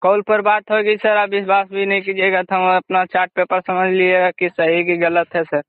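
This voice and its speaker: A man working as a recruiter, making an unscripted pitch over the phone. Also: monotone, normal speed, clean tone, no echo. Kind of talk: unscripted sales phone call. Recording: tinny, narrowband phone line.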